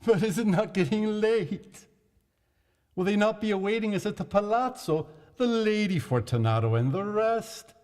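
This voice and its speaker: A man voicing a chained, doomed nobleman who laughs shrilly and pleads in a high, strained voice, heard in a long echoing hall. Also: lively intonation, normal speed, darkish tone. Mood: hysterical laughing.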